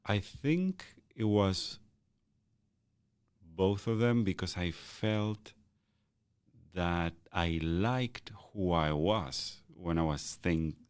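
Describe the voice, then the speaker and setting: Man, very deep booming bass, reflective, halting restarts, dry close sound. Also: lively intonation, clear, resonant tone, no room sound. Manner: thoughtful, halting with repeated starts. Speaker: podcast co-host. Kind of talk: reflective conversational chat.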